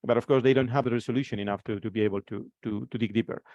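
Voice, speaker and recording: A man's voice: medium pitch, fairly even intonation, rapid-fire, a little mellow, no echo, lo-fi sound. A man from Spain in his forties with a Spanish accent, giving a conference presentation and often repeating words as he restarts his phrases.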